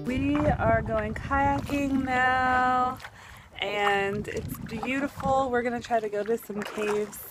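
A woman's voice: on the high side, steady tone, slow pace, over loud music. A young woman in her twenties filming a casual travel vlog with a handheld camera, talking in a chatty, upbeat way on a beach and a boat. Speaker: travel vlogger. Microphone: camera held at arm's length.

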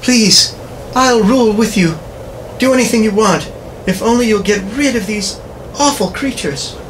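A man voicing a frightened young damsel in distress, shrill and pleading, in radio horror style. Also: normal speed, far from the mic, slight background noise, natural voice, rough recording. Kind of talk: old-time radio horror acting.

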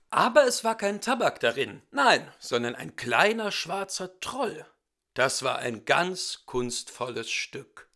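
A man reading a fairy tale as a storyteller, expressive and theatrical, with careful enunciation. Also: fairly high pitch.

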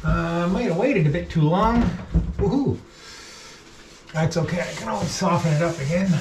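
A middle-aged man casually talking through his hands-on work to the camera, with halting pauses as he goes. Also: high-pitched. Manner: talks haltingly while working, with pauses. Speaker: vlog host, boat restorer. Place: inside a wooden boat in a shed and at its workbench. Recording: noisy workshop recording with clicks.

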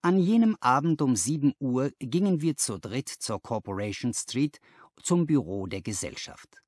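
Male audiobook narrator. Dramatic literary read, careful phrasing, highly animated intonation, dry sound. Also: unhurried, no echo. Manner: expressive storytelling, careful phrasing.

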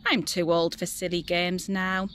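A woman's voice: fairly low pitch, little pitch movement, measured pace, a bit bright. A woman voicing a bored, doubting little girl in a children's storybook, matter-of-fact and dismissive, with some background noise. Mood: bored, doubtful.

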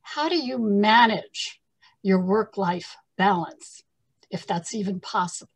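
An older American woman moderating a webinar over a video call from a home study, gracious and measured, reading prepared remarks. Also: medium pitch, breathy edge.

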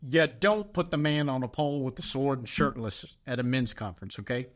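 A man's voice: medium pitch, expressive, a little fast. A middle-aged man, a pastor co-hosting a podcast, telling a story in casual chat with emphatic, exasperated asides.

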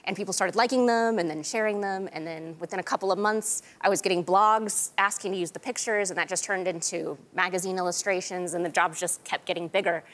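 A woman's voice: lowish voice, steady tone, brisk, few pauses, fairly bright tone. An American woman in her thirties giving enthusiastic, conversational answers as a guest artist in an interview. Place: large TV studio with audience. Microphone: lapel mic. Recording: studio audience faintly behind.

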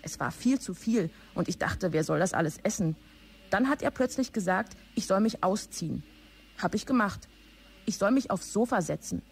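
A woman playing an aggrieved office assistant in a radio drama, confiding in a matter-of-fact way with a husky voice. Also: medium pitch, animated, normal speed.

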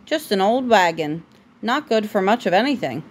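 A woman voicing an impatient, grumbly young female bunny in a storybook, with expressive reading. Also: medium pitch, normal speed, slight background noise, natural voice. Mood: dismissive.

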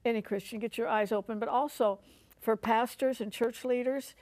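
Older American woman, fairly high voice. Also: fairly even intonation, moderate pace, darkish tone, no echo. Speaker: talk show host. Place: furnished living-room style TV studio set.

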